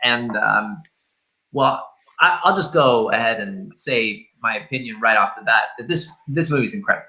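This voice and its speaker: Guy hosting a movie chat, bright voice, loose and jokey, slightly muffled lo-fi recording. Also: mid-range voice, normal speed. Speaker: film review show host. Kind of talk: casual movie review chat.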